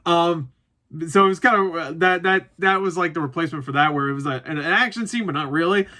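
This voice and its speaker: A man with a bright, punchy voice pitched a little high talks casually as a reviewer. He rambles through his opinions without a script, doubles back to correct himself and swears freely. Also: quick pace. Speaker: movie reviewer. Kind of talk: casual unscripted review chat to viewers.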